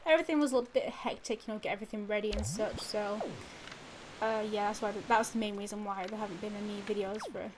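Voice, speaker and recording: A woman's voice: medium pitch, steady tone, fast talker. Stuffy-nosed young woman rambling over gameplay. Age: young adult. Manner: rambling, many fillers and restarts. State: stuffy nose from a cold. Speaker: let's play gamer. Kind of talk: casual gameplay commentary. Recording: audible background noise.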